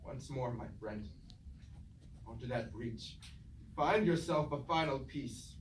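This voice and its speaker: An actor playing a weary, noble old robot war leader in formal, declamatory stage-tragedy style. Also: male voice, mid-range voice, takes it slowly, natural voice. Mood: mournful, gentle. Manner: formal, declamatory, archaic phrasing. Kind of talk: theatrical Greek-tragedy stage acting. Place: indoor room, staged reading at a university. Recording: distant, echoey, noisy room recording.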